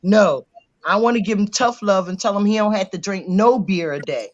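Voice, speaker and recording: A man's voice: high-pitched, moderate pace. A middle-aged man in recovery talking bluntly in a casual debate, often repeating himself. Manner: blunt, repetitive, talks over others. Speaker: recovering alcoholic. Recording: dull lo-fi audio.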